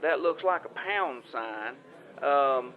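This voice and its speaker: A man's voice: mid-range voice, lively intonation. A man playing a devout, flustered farmer with a Southern US accent, stammering and rambling through a phone call in an improvised comedic audio drama. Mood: hesitant, fumbling. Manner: rambling, stammering, halting, folksy. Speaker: caller.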